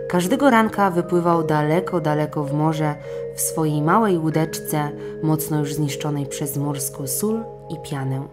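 A woman narrating a children's fairy tale as an audiobook, in expressive storytelling style. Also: low-pitched.

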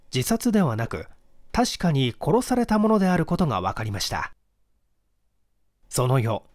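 A man reading a classic mystery story aloud as a dramatic narrator, in measured, formal storytelling. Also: on the high side, a little mellow, no room sound, clean studio sound. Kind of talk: dramatic scripted audiobook narration in first person.

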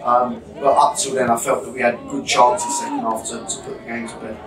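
A football manager in his thirties with a London accent, talking through a defeat in a measured, reflective way with many fillers and restarts. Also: medium pitch, little pitch movement, crowd noise. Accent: London English. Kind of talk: post-match interview. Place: small changing room. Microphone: camera mic at a few metres. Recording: echoey small room, distant mic.

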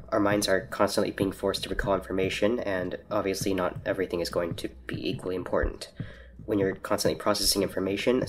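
A man playing a calm, earnest, analytical scientist in an understated audio drama, measured, formal and precise. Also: sits low, slight background noise, natural voice. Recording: faint background ambience.

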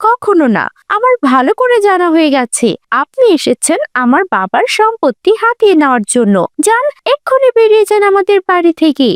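Woman playing a very shrill, haughty rich girl. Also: big pitch swings, brisk, dry recording, studio quality. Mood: scornful. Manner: haughty, scolding, insistent. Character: arrogant, spoiled young rich sister, scornful and demanding. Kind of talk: melodramatic cartoon story dubbing.

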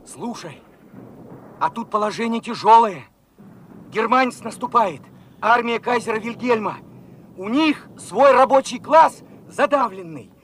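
An actor in a Soviet radio play playing a fervent young revolutionary soldier, talking in an excited, colloquial way. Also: male voice, very high-pitched, unhurried, a little hiss or hum. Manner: excited, colloquial, eager questioning.

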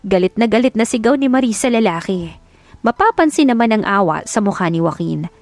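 Female narrator for scripted romance audiobook, medium pitch, dramatic storytelling, dry studio-close sound. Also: animated, a little fast, a little mellow, dry recording, faint music behind.

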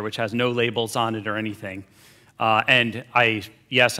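Man, forties, bright clear voice, relaxed chatty keynote on a big stage. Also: medium pitch. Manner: casual, chatty storytelling with many fillers. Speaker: keynote speaker. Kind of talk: conference keynote talk. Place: large conference hall stage.

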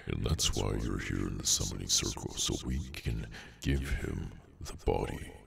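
Gravelly, abyss-deep cursed henchman. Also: fairly bright tone, far from the mic, heavily acted. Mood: calm menace. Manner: unhurried, dry, menacing. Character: cursed male henchman creature, menacing, bored and sardonic. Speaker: ASMR roleplay voice actor. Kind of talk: ASMR audio roleplay, slow dramatic monologue.

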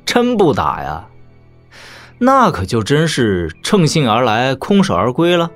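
An actor playing a proud young warrior prince in an audio drama, measured, cutting and mock-polite. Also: male voice, mid-range voice, huge pitch swings, fairly bright tone, faint music behind.

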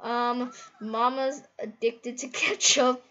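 Casting: female gaming host, high-pitched, casual and giggly, slightly muffled lo-fi recording. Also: steady tone, slow pace, bright, forceful tone, a little hiss or hum. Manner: giggly, rambling, easily amazed. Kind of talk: casual gameplay commentary.

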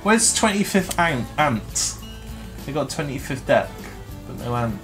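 A man's voice: medium pitch, very animated, measured pace, a bit bright, soft background music. A man in his thirties co-presenting craft products with playful jokes, filmed in a small stockroom by a camera on the table. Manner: joking, playful asides. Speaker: shop presenter. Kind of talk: casual product showcase chat.

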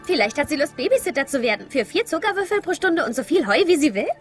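A cheeky cartoon little sister, super high and quick talking. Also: female voice, very animated, soft background music. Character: perky, cheeky young girl, little sister. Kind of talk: children's cartoon dubbing.

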